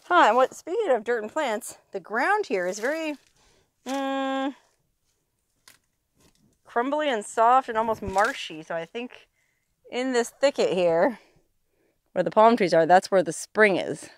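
A woman in her thirties, excitable and easily amazed, narrating as she explores outdoors and inside an old mine, talking into a handheld camera. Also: fairly high pitch, measured pace, pauses often, slight background noise.

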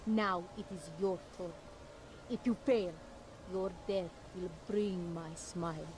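A proud alien warrior, acted out by a voice actor, stern and honor-bound, from a video game. The delivery is slow and measured over faint ambience. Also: medium pitch, steady tone, background noise, close to an everyday voice. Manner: stern, formal broken speech.

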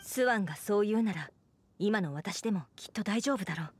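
A woman's voice: medium pitch, expressive, brisk, a little hiss or hum, lightly acted. A woman playing a dutiful young knight commander in an anime game, speaking with formal, stoic phrasing. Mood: earnest, composed. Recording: game audio with music behind.

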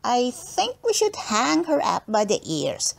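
Mean little girl bully, voiced by a woman, high squeal, storybook villain. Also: wildly swooping pitch, unhurried, creaky, heavily acted. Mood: gleefully mean.

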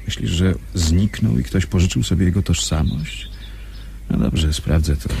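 Deep-voiced, curt undercover officer. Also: male voice, normal speed, gravelly. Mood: businesslike. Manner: curt, businesslike. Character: brisk, impatient undercover officer, a man. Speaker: actor in a radio drama. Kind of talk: radio drama acting. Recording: noisy recording with background ambience.

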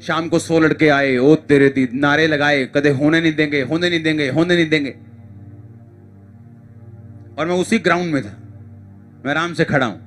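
A man's voice: fairly high pitch, steady tone, normal speed, pauses often, a bit bright. A male pastor preaches to a congregation. He is emphatic and exhorting, hammering phrases by repetition. Music plays behind his voice. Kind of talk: impassioned church sermon to a congregation.

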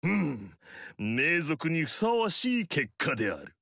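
A haughty, self-praising demon-clan noble in a video game. His voice is bright and high for a man, with a gravelly edge. The recording is dry and close. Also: sing-song, slow pace, no echo, full character voice. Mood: commanding. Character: pompous arrogant male demon-clan noble warlord. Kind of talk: video game battle lines.

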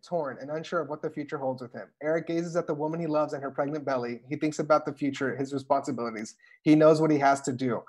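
A man narrating a script at a table read, reading the stage directions aloud over a video call. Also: a little high. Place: indoors at home on a video call. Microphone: video call microphone.

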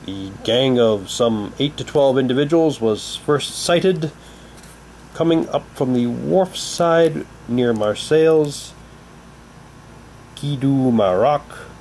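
A man giving a halting, unhurried, casual commentary as he shows and reads out items for an unboxing video. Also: medium pitch. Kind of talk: casual unboxing commentary, reading packaging aloud. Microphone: handheld camera's built-in mic. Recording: noisy home camcorder, steady hum.

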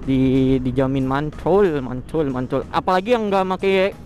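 A young man, chatting excitedly in slang through a helmet intercom while riding a motorbike at night. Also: mid-range voice, a little slow, soft background music. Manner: excitable, slangy, exclaims often. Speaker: motovlogger. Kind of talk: casual riding vlog chat. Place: on a motorbike on city streets at night.

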